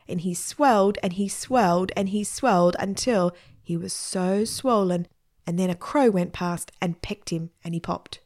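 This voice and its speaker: A woman's voice: on the low side, measured pace, a little mellow, lightly acted. A woman voicing a matter-of-fact male character who explains absurd sad tales in a children's story. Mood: deadpan.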